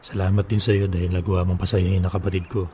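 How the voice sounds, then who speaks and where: An actor playing a somber, caring older brother-in-law in a Tagalog radio drama, consoling and measured. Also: male voice, low-pitched, little pitch movement, highs cut off, a little hiss or hum, natural voice. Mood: gentle concern.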